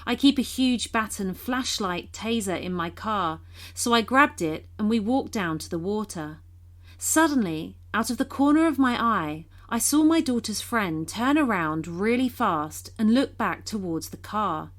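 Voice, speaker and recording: A woman's voice: medium pitch, expressive, a little slow. A woman reading a scripted first-person horror story as a narrator. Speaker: reader of listener-submitted horror stories.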